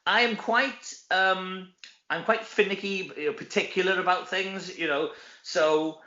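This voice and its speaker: Welsh male actor as interview guest, higher-pitched and bright, jokey and chatty, recorded in an echoey room. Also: unhurried. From Wales. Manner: animated, self-deprecating joking. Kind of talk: casual chat interview. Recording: dull, echoey room sound.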